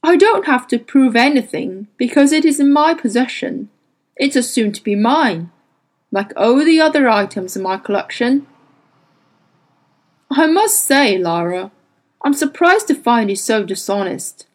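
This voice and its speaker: A woman voicing a smooth, calm, powerful wealthy gentleman collector, courteous but with a cold edge, in a storybook reading. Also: a little high, unhurried. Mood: coolly righteous.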